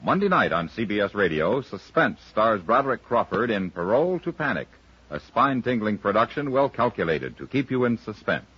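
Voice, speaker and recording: A man's voice: on the low side, very animated, fairly quick, slightly rough. A man working as a radio announcer, delivering scripted promos in a polished 1950s broadcast style. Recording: muffled old radio recording.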